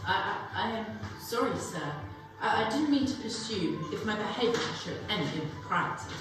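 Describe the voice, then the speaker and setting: A woman's voice: mid-range voice, animated, normal speed, music in the background, lightly acted. A young woman playing an earnest, shy boy with a hesitant manner in a stage reading. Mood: nervous. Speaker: youth stage actor. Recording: echoey hall, distant mic, faint noise.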